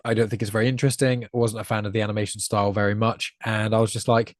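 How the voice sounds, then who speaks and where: A man's voice: on the low side, flat delivery, racing, no echo, studio quality. A man in his late twenties chatting casually as a podcast guest, congested with a cold and rambling a little. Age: late 20s. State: congested from a cold and hay fever, chewing gum. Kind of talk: casual chat.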